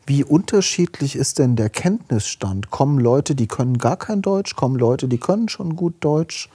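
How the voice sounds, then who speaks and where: A man hosting a regional business podcast, asking questions in a relaxed, conversational way. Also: mid-range voice, moderate pace, slightly rough, no echo. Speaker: podcast host.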